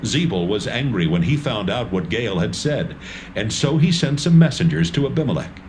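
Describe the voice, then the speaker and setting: A man narrating a dramatised Bible reading in a steady, measured way. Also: mid-range voice, a little hiss or hum, rough recording. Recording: narration over music.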